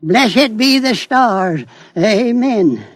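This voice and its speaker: Casting: zealous cultist for vintage radio play, very high voice, swooping folksy sermonizing, dry sound. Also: male voice, lively intonation, drawn-out words, dry recording, exaggerated voice. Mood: pious, indignant. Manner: fervent preaching cadence, folksy clipped phrasing. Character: devout old doomsday cult believer, rapturous and stubborn. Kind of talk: 1950s radio drama acting.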